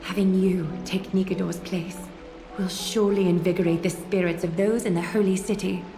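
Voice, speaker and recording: A woman's voice: lowish voice, steady tone, moderate pace, warm tone, close to an everyday voice. An actress playing a regal, composed leader, stately and formal yet motherly, in a video game drama. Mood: pleased, stately. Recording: echoey, over background music.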